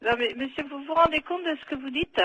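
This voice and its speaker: A woman with a very high, sharp, forceful voice talks on a service call. She sounds incredulous and indignant, reasoning and remonstrating in conversational phrases. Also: fast, poor audio. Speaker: motorway service phone operator. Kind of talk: phone conversation.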